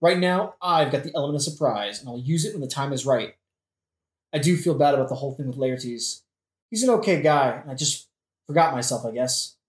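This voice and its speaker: A woman's voice: extremely low pitch, expressive, brisk. Roomy echo, woman acting as sardonic young prince, theatrical, melodramatic, comedic audio play.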